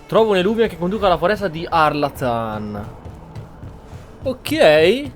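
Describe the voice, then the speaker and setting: A male game streamer with a slightly high voice. He comments casually and muses aloud as he roleplays, with soft music behind him. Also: very animated, moderate pace. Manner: musing, thinking aloud.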